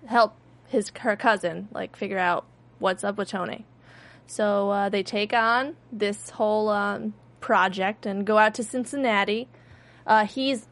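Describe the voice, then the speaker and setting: Young woman rambling through a show recap. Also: medium pitch. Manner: rambling, many fillers and restarts. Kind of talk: casual recap chat.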